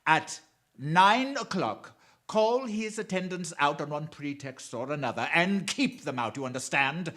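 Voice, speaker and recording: A villainous criminal mastermind in a radio drama. He speaks slowly, with great swoops of pitch, savoring each threat and gloating as he repeats it. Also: lively intonation, sharp tone, exaggerated voice. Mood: scheming command. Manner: slow relishing menace, chuckling, repeats phrases. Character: male crime mastermind, menacing, gloating, theatrical.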